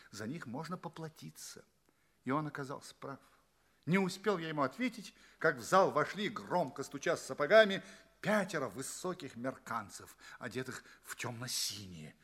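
An actor playing a polite, bewildered space traveler in a radio play, speaking and narrating in an earnest, expressive way. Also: male voice, on the high side, breathy edge, heavily acted. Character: bewildered, polite male space traveler telling his adventures.

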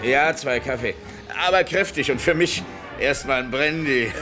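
An actor playing a gruff, talkative long-haul trucker in a vintage radio crime play. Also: male voice, mid-range voice, normal speed, over music, lightly acted. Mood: businesslike. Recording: old radio play production.

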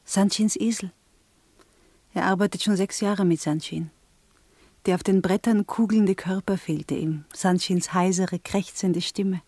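An actress playing an earnest, compassionate variety performer in a German radio drama, with a soft manner. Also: female voice, medium pitch, fairly even intonation, a little slow, breathy edge, dry recording. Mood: sad, tender.

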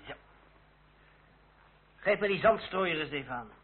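A man playing a brisk, authoritative revolutionary officer who gives curt orders in an old radio drama. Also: on the high side, animated. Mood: businesslike command. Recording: noisy, tinny old radio play recording.

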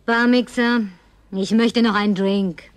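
An actress playing a tipsy, flirtatious, lonely woman survivor in a radio drama, coquettish and slipping into breathy whispers. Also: fairly high pitch, fairly even intonation, measured pace, no echo, lightly acted. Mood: tipsy, demanding. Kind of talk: German radio drama acting.

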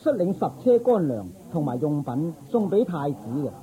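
A man's voice: a little high, sing-song, moderate pace, mellow, poor audio. A man playing a dutiful, agreeable court official in a Cantonese radio drama, earnest and deferential. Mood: respectful.

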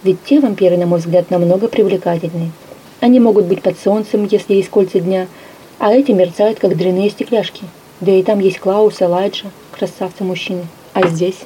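A woman narrating a first-person story with a lively, expressive reading. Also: medium pitch, moderate pace, a little hiss or hum, poor audio. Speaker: audiobook narrator.

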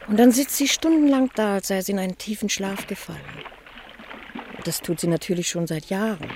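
A woman's voice: mid-range voice, brisk, a little mellow, lightly acted. Actress as timid ageing niece, modest, hesitant, self-effacing woman, old radio drama, slight background noise. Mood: wistful. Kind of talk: 1960 German radio play acting. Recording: noisy old radio recording.

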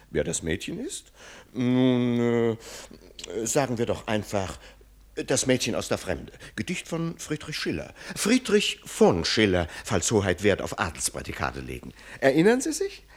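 A learned, sardonic tutor to royalty in a radio play. His delivery is hugely animated, leaping up and down in pitch, with pompous, teasing irony in ornate phrases. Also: unhurried, husky, full character voice. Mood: mock lecturing. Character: sardonic, mocking learned male tutor to a prince. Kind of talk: German radio play acting.

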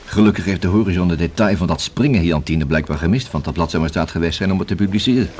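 Man playing a low-voiced skeptical radio detective. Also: fast, a little mellow, close to an everyday voice. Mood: dry, pondering. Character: male police detective, dry, deliberate and skeptical. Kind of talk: Dutch radio play acting. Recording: noisy old radio play recording.

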